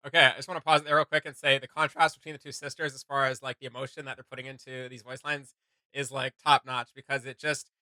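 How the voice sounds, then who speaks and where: Casting: male reaction host, very bright forceful voice, close dry sound, casual commentary. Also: mid-range voice, little pitch movement, fast talker, dry recording.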